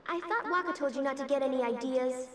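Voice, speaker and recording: Woman voicing high-pitched, spirited girl, echoing. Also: quick pace, a little hiss or hum, close to an everyday voice. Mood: insistent. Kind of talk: video game cutscene acting. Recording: echoey, distant, faint ambience.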